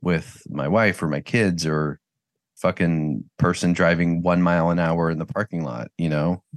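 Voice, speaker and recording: A man's voice: sits low, steady tone, normal speed, no echo, clean studio sound. A man co-hosting a podcast, talking candidly in casual chat, swearing freely and laughing often. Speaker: podcast co-host.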